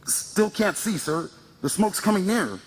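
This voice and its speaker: A man's voice: high voice, lively intonation, unhurried, big-room sound, slight background noise, rough recording. A man playing a nervous artillery observer in a radio drama, stammering anxious, deferential reports to his officer.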